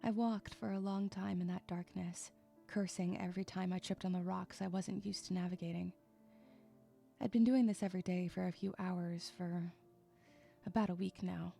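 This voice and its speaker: A woman's voice: on the low side, fairly even intonation, moderate pace, gravelly, mellow, faint music behind. A woman playing a troubled, withdrawn young loner who narrates her own story in an introspective, emotive way. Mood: uneasy. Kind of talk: dramatic first-person story narration.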